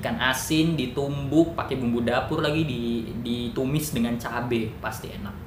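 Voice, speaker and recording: A man talks through a lesson in a loose, chatty way, stopping to correct himself and toss in little jokes. His voice sits at an ordinary pitch. The room around him is echoey, and a steady rumble of noise runs underneath. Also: moderate pace. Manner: chatty, thinks aloud with fillers and self-corrections, jokey asides. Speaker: educational YouTuber. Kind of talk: casual educational monologue.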